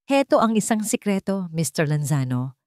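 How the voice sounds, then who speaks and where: A Filipino woman voicing a poised, guarded, mysterious hired companion in a romance audio drama, calm, measured and firm. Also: mid-range voice, no echo, studio quality, close to an everyday voice. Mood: calm, reassuring. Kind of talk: romance radio-drama storytelling.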